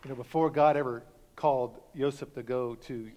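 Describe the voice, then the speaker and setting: Impassioned pastor preaching in a reverberant hall. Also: male voice, steady tone, moderate pace, dark tone, some chatter behind, slight background noise. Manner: impassioned preaching, climbing in pitch and volume. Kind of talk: sermon. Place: church sanctuary.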